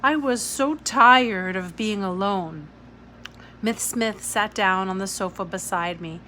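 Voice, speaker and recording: A woman's voice: medium pitch, slow pace, bright, forceful tone. A woman reading a children's novel aloud as scripted narration. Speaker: audiobook-style reader. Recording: clear background noise.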